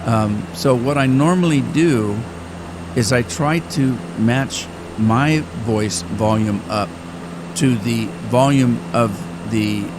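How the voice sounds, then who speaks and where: An older man talking casually while playing a game, rambling with pauses and restarts. He says his voice has grown deeper and cracks more with age. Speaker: gaming channel host. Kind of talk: casual gameplay commentary. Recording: engine noise behind the voice. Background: flight simulator engine noise.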